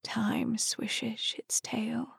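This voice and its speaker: A woman voicing a mysterious whiskered fish spirit in a storybook, half-singing rhymes in a hoarse, breathy whisper. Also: fairly high pitch, little pitch movement, dry recording, clean studio sound, heavily acted. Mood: eerie crooning. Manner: slow half-sung incantation with slurred fishy 'ish' word endings. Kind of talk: storybook character voice.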